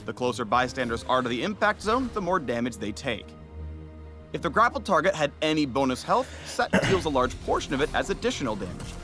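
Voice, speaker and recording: A man's voice: mid-range voice, big pitch swings, a little fast, bright, forceful tone. A man narrating a game champion spotlight in a playful, punning, upbeat scripted style. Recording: narration over game music. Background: music.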